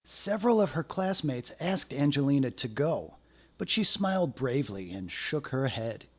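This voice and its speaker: A man reading a children's picture book aloud in an expressive, deliberate storytelling manner. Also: mid-range voice, breathy edge.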